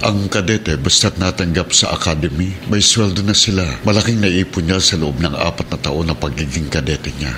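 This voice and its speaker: Actor as deep-voiced, earnest middle-aged father. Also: moderate pace, few pauses, background noise, natural voice. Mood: earnest, reassuring. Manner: gentle, earnest, pleading. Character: remorseful, earnest father, police officer near retirement. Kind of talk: radio drama acting in Tagalog. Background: dramatic music.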